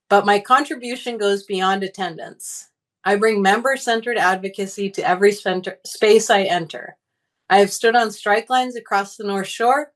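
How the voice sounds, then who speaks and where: A woman delivering a prepared campaign speech as a union candidate, speaking in an earnest, deliberate, formal manner. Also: medium pitch, poor audio. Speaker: union candidate, teachers' organizer.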